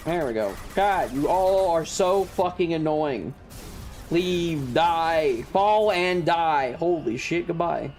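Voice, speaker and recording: Higher-voiced sweary male game streamer. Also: expressive, takes it slowly, darkish tone. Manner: sweary, exasperated, dramatic reactions. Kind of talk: casual video game livestream commentary, chatting with viewers. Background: video game music.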